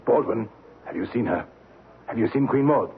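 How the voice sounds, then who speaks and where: A man's voice: medium pitch, lively intonation, normal speed, natural voice. An actor playing a troubled middle-aged man of standing in an old radio drama, with a grave theatrical delivery. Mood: grave, bitter. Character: troubled middle-aged executive haunted by a noble past life. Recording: muffled, faintly noisy old radio recording.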